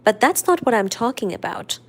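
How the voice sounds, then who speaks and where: Woman reading as a blunt country doctor, calm, probing, storybook reading. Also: on the high side, a little fast.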